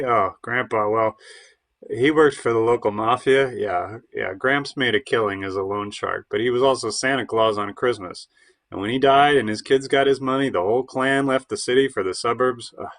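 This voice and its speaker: A man's voice: medium pitch, normal speed, a bit bright, lightly acted. A man playing a hesitant, stammering, defensive would-be bohemian in his late thirties in an audio play. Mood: proud, defensive.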